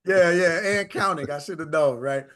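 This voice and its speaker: A man's voice: a little high, moderate pace, a bit bright. A male interview host, upbeat, asking long rambling questions with many fillers. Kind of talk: conversational interview.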